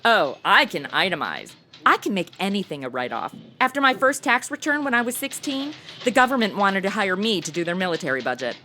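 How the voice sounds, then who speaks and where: A woman's voice: medium pitch, big pitch swings, brisk, pushed and bright, faint music behind. An actress playing a flippant, self-absorbed modern young woman in a comedy audio drama, breezy, sassy and slangy. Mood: playful. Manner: sassy, breezy, slangy, valley-girl phrasing.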